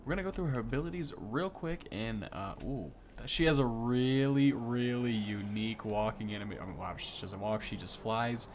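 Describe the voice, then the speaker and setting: A man's voice: medium pitch, moderate pace, few pauses, slight background noise. A man hosting a gaming video, giving casual, easily impressed first-look commentary that rambles and corrects itself.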